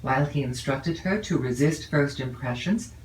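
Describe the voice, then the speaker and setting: A woman narrates classic literature in a roomy space, a little away from the microphone. Her reading is measured and expressive, lingering over phrases. A faint noise sits under the voice. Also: extremely low pitch, distant mic. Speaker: audiobook narrator.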